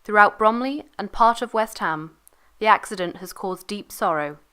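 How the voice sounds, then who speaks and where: A woman's voice: medium pitch, moderate pace, fairly bright tone, clean tone. A woman narrating, reading an old news report from a script.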